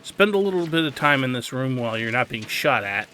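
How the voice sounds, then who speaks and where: A man's voice: mid-range voice, animated, fairly quick, sharp tone, a little hiss or hum. A man giving a casual, instructive video game tutorial in an opinionated, emphatic way. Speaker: video game guide host.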